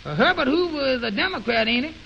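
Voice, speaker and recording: A man playing a naive, slow-witted, questioning fellow in a 1920s radio comedy, with puzzled, halting, folksy ungrammatical talk on an old recording. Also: very high voice, expressive, pushed and bright, muffled recording, a little hiss or hum, heavily acted. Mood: curious.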